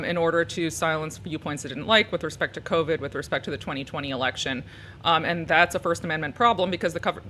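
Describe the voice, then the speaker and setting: A woman with a bright, forward tone explains her views as an interview guest, articulate and earnest with many small fillers. A faint rumble of background noise runs underneath. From United States. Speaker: civil liberties lawyer, guest. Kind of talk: interview answers.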